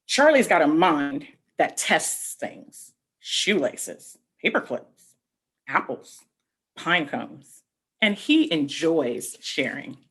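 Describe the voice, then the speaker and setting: A woman reading a picture book aloud to children, explaining things simply with asides and questions in a slightly husky voice. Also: low voice, slow. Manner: expressive, explaining simply and addressing young listeners with questions. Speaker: read-aloud host for children.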